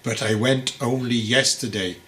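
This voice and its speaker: A man's voice: mid-range voice, normal speed, a bit bright, close to an everyday voice. A man voicing an anxious, deferential father in an audiobook, speaking in a placating, respectful way. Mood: worried, placating. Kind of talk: audiobook character voice.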